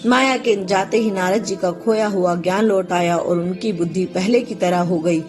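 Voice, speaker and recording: A woman's voice: lowish voice, moderate pace, a little mellow. Woman narrating a devotional story over music.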